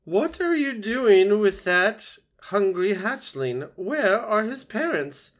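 A man's voice: sits high, sing-song, slow pace, voice pushed up, exaggerated voice. A man voicing a bossy old female goose in a storybook, squawking, blunt and scolding with heavy emphasis. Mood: suspicious, scolding.

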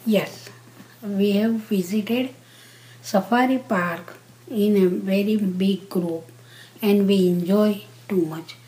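A grandmother in her seventies from Kenya, answering interview questions in halting, simple phrases with pauses. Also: mid-range voice, mellow, poor audio. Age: 70s. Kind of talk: slow casual interview answers.

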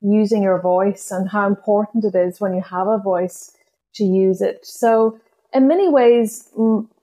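Thoughtful Irish woman poet, halting speech. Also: medium pitch, steady tone, dark tone. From Ireland. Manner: thoughtful, hesitant, many restarts and fillers. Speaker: guest poet. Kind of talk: reflective interview conversation.

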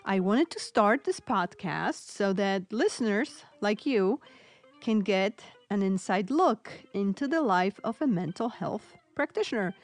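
A woman from Poland with a Polish accent hosting a podcast, warm in an eager, easily laughing way. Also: medium pitch, lively intonation, measured pace. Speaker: podcast host, nurse practitioner. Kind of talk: podcast intro and casual interview.

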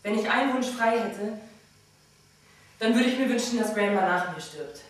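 A woman's voice: fairly high pitch, moderate pace, far from the mic, close to an everyday voice. A woman playing a wry seventeen-year-old girl on an echoey stage. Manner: wry, chatty, addresses the audience with questions. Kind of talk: stage monologue to the audience.

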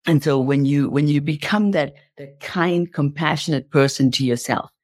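A woman's voice: sits low, normal speed, a little mellow, no room sound, clean studio sound. A woman speaking as an interview guest, explaining in an impassioned motivational style and repeating phrases for emphasis.

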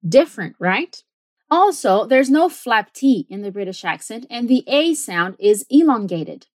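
Brazilian woman host, slightly high voice, dry close-mic podcast, clear teacherly explaining. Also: very animated, takes it slowly, a little mellow, no echo. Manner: clear, explanatory, switches between languages. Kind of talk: podcast language lesson.

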